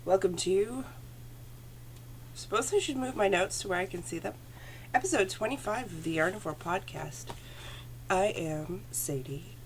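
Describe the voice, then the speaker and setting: A woman with a lowish, gravelly voice and a phlegmy throat chats casually about her knitting into a computer camera at home. She rambles and corrects herself as she goes, and a faint hum sits underneath. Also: a little mellow, rough recording. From Canada. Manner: chatty, rambling, self-correcting. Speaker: knitting podcast host. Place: home room. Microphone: computer camera at desk.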